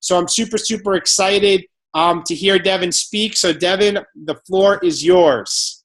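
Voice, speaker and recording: A man's voice: high voice, measured pace, fairly bright tone. Upbeat man hosting a team video call. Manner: upbeat, motivational.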